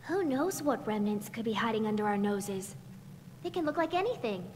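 A woman's voice: on the high side, very animated, moderate pace, a little mellow. An actress playing an earnest, eager teenage girl in a fantasy video game cutscene. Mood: eager, upbeat. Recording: reverberant game audio, faint noise.

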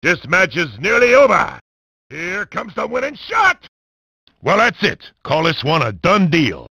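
An actor voicing a bombastic, wisecracking, gleefully violent sports announcer in a video game, with a gravelly, raspy voice and hammy delivery. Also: male voice, high-pitched, wildly swooping pitch, measured pace, pushed and bright, no echo, studio quality, exaggerated voice. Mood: excited, bellowing. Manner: hammy, wisecracking, often bellowing. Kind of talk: over-the-top sports commentary for a video game.

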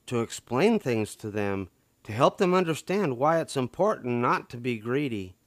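A gentle mother bear from a children's story , acted by a man. She reasons with concern in a raised, lively voice, recorded dry. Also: medium pitch, normal speed, dry recording, pitched above the performer's own voice, natural voice.

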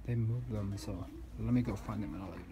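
A man's voice: fairly low pitch, fast talker, noisy background. Gushing man vlogging with his phone. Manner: chatty, gushing, enthusiastic. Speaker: home decor vlogger. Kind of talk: casual shopping vlog. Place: big stores and a parking lot. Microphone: phone held at arm's length.

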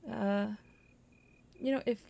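A young woman playing a kind, awkward popular girl in an audio roleplay, with halting, stammering, flustered delivery. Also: on the high side, vocal fry, a little mellow, breathy edge, full character voice. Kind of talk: intimate audio roleplay acting.

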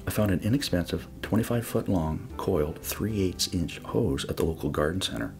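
A man giving a scripted voice-over for a do-it-yourself build, explaining each step in a measured, methodical way. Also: low-pitched, lively intonation, creaky, darkish tone, soft background music. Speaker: DIY builder narrating a project.

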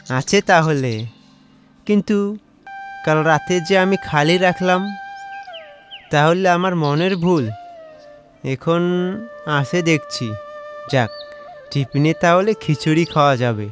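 Man acting grumbling slow-talking cartoon everyman. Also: fairly high pitch. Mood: puzzled. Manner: talks to himself, exclaiming, sighing. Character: Sharif, grumbling poor bachelor office worker, kind-hearted. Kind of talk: animated folk tale voice acting.

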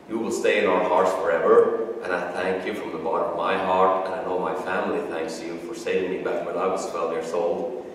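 A man's voice: deep voice, a little hiss or hum. Earnest Norwegian man in echoey studio. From Norway. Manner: earnest, emotional, frequent restarts. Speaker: martial arts instructor. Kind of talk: heartfelt video tribute. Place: martial arts studio, indoors. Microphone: camera at a distance. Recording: echoey room, far from mic.

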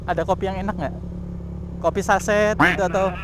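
High-pitched young man riding a motorbike. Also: fairly even intonation, brisk. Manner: playful, joking. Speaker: motovlogger. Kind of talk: casual banter while riding a motorbike. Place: outdoors on a moving motorbike. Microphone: helmet-mounted intercom mic. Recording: helmet intercom mic, loud road and engine noise.

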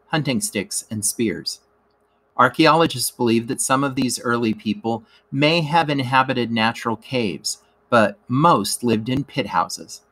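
A man reading a scripted educational narration aloud like a teacher, in a measured, explanatory way. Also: medium pitch, a bit bright.

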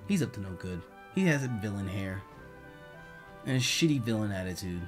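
A man doing let's play commentary on a video game. He is excitable, repeats catchphrases, swears a lot, and his voice is getting hoarse. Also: on the low side, normal speed, faint music behind. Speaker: gaming YouTuber.

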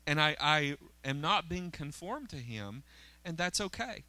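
Bright-voiced pastor preaching. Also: male voice, fairly high pitch, very animated, unhurried, no room sound. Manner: conversational preaching, checks in with the crowd. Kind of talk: sermon. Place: church auditorium stage, indoors. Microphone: handheld mic.

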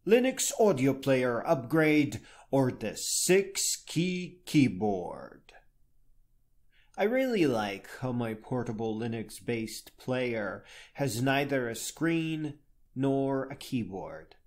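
A man narrates a scripted blog piece at an unhurried pace. His mid-pitched voice swings widely up and down from phrase to phrase. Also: a little mellow.

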